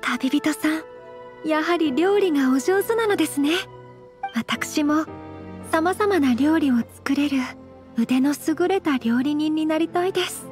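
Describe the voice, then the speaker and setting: A woman playing a refined, gentle young noblewoman in an anime game, speaking formally, courteously and earnestly. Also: high-pitched, measured pace, faint music behind. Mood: polite, gentle. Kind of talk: anime game character acting.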